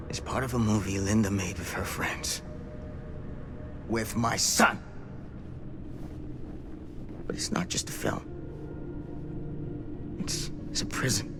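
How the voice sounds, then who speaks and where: An actor playing a blunt, urgent, haunted old former small-town sheriff in a cinematic horror game. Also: male voice, fairly low pitch, slow pace, long pauses, music in the background. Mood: ominous. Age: older.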